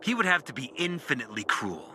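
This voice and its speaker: An actor playing a bitter, disillusioned former priest in a video game, speaking a brooding, deliberate confessional monologue with dramatic pauses. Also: male voice, mid-range voice, big pitch swings, sharp tone, soft background music. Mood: troubled, grim. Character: disillusioned former priest, bitter and intense, recounting his lost faith. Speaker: character narrating an audio journal.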